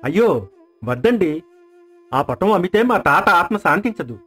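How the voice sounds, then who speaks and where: A man's voice: high voice, very animated, normal speed, pushed and bright, slightly rough, soft background music, full character voice. A man voicing a wronged, cunning village farmer who pleads, in an acted moral story. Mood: feigned meekness.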